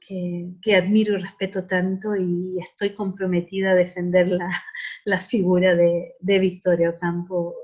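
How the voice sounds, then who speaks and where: A woman's voice: on the low side, little pitch movement, normal speed, dark tone, muffled recording. A middle-aged woman academic speaking reflectively in an interview, earnest and often repeating words as she searches for the right one.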